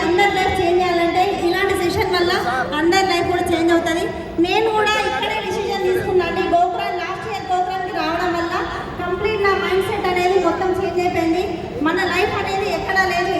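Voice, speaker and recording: Female testimonial speaker, Telangana Telugu accent, earnest, heard from far off in a very reverberant, noisy hall. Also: extremely high pitch. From Godavarikhani, Telangana, India. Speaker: network marketer giving a testimonial. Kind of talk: motivational testimonial speech to an audience. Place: large echoing hall. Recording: very echoey hall, distant mic, noisy.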